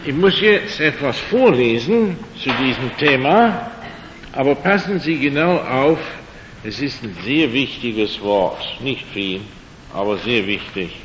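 A man's voice: animated, a bit bright. An older man speaking German as a second language, giving an evening talk on faith with dry humor and frequent hesitations. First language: not German. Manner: slow, halting, with dry humor and many fillers. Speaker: lecturer. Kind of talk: evening lecture on creation and faith. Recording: dull, echoey hall recording with faint rumble.

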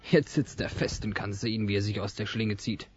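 An actor playing a sour, gloomy older brother who grumbles sarcastically in a radio play. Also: male voice, on the low side, brisk, husky, dull audio. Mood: scornful, bitter.

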